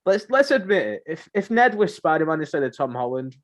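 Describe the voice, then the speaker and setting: A man's voice: on the high side, sing-song, a little fast. Man, rambling casual podcast chat. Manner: rambling, slangy, jokey. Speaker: podcast co-host.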